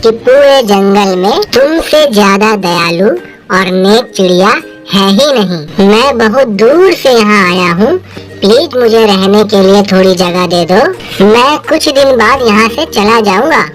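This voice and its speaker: Woman acting as a wicked cartoon crow, greedy and coaxing, slow delivery, music underneath. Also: medium pitch, expressive, lightly acted. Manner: scheming, coaxing. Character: sly greedy male crow, wicked schemer. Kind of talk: Hindi children's cartoon voice acting. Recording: music behind the voice.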